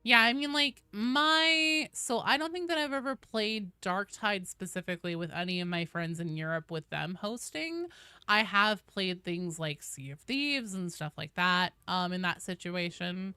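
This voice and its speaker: A woman's voice: mid-range voice, expressive, a little slow, pushed and bright, clean tone, no echo. A woman hosting a game stream, chatting casually and reading out viewer comments in informal, slangy language.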